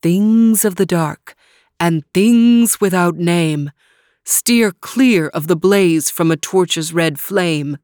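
A woman doing a slow, solemn chanting owl. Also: lowish voice, darkish tone, dry recording, clean studio sound.

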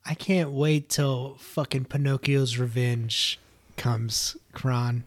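A man's voice: mid-range voice, steady tone, slow, no echo. A man co-hosting a podcast, laughing and asking playful questions. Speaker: podcast co-host. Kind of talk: casual podcast banter.

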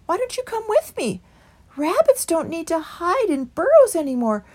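A woman's voice: very high-pitched, very animated, moderate pace, a little mellow, voice pushed up. A woman voicing an earnest young male mouse who carries a heavy burden.

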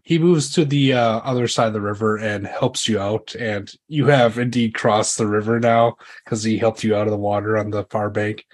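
A man's voice: on the low side, steady tone, normal speed, hardly any pauses. A man casually improvising narration as game master of a tabletop roleplaying game.

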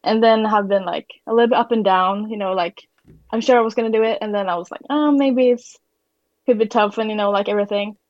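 A woman's voice: medium pitch, steady tone, quick pace, dry recording, muffled recording. A teenage woman from Sweden with a Swedish accent, chatting casually and hesitantly as a podcast guest. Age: teens. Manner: hesitant, frequent "like". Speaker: podcast guest, exchange student. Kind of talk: casual chat.

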